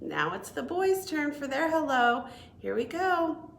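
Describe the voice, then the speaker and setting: A woman working as a children's librarian, hosting a read-aloud storytime in an animated, encouraging way with simple phrasing for small children. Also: high voice, a little slow, vocal fry, reverberant, a little hiss or hum.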